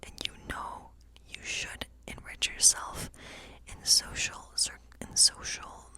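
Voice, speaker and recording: A gentle woodland witch, played by a woman, speaks entirely in a soft, breathy whisper. She muses slowly and circles back over her phrases as a tarot reader would. The style is an intimate ASMR fantasy role play. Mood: soothing, reflective. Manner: slow, gentle, musing, often repeating phrases. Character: kindly woodland witch reading tarot for a guest. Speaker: ASMR performer.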